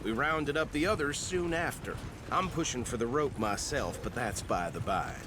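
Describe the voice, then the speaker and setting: An actor playing an earnest, self-important small-town deputy in a western game, folksy and talkative with a Southern accent. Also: male voice, medium pitch, animated, normal speed, natural voice. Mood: chatty. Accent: American South. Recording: clear outdoor noise, animals.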